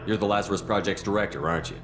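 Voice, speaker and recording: An actor playing a resolute, guarded military commander in a video game, direct and serious. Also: male voice, fairly low pitch, racing, music in the background, close to an everyday voice. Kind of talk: video game cutscene acting.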